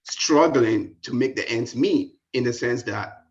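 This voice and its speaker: A man's voice: medium pitch, measured pace. A man from Ghana with a Ghanaian accent, asking a question politely. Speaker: audience member asking a question. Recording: dull lo-fi call audio.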